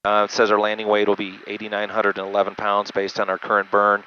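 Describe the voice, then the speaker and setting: Male pilot, low even voice, patiently methodical and halting as he explains procedures, dull headset sound. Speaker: jet pilot. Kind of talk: unscripted instructional walkthrough. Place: small jet cockpit in flight. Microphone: aviation headset through intercom. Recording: muffled band-limited cockpit headset audio, engine noise.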